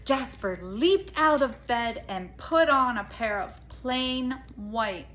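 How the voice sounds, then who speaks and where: A woman reading a children's picture book aloud as a storyteller, expressively and dramatically, with pauses. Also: a little high, expressive. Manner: dramatic, with hushed asides and pauses. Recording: echoey room with faint noise.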